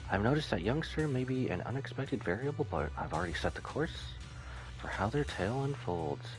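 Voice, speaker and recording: A man voicing a cryptic, resolute cult overseer from a game in a measured, grave way. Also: medium pitch, big pitch swings, faint music behind, natural voice, poor audio.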